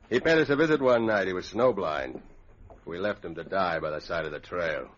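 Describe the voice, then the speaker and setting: A ruthless outlaw leader from a radio adventure. He speaks low and rough-edged, bossing people with gloating menace. The old recording is muffled. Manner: bossy, curt, menacing.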